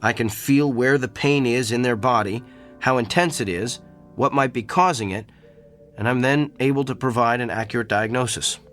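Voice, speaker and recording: A man's voice: mid-range voice, moderate pace, faint music behind, natural voice. A man playing a smug, clinical doctor. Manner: calm and clinical, growing self-important. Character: arrogant male doctor with a healing gift. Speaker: doctor who feels patients' pain. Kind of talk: old-time radio drama acting.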